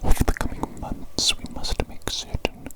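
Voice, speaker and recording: A woman's voice: normal speed, lightly acted. A woman whispering an ASMR roleplay as a pompous, condescending evil doctor, close to the microphone. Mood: soothing. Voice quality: whispering throughout. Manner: haughty, self-important, easily exasperated.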